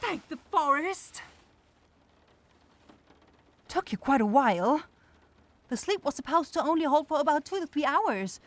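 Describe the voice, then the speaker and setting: A woman's voice: sits high, dry recording. A woman voicing a caring young forest witch in a fantasy ASMR roleplay, nervous, rambling and apologetic. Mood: relieved, caring.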